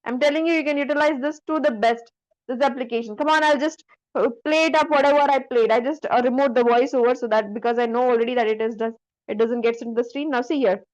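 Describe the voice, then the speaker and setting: A woman instructor talking through a screen-share software tutorial step by step, often checking that the listener follows. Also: fairly high pitch, steady tone, quick pace, rough recording.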